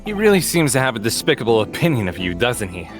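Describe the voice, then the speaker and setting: A man plays a steadfast video game ally, calm and earnest. His mid-pitched voice speaks encouragingly over clearly audible music. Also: fast talker, lightly acted. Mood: matter-of-fact. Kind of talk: live comedic fan dub of a video game.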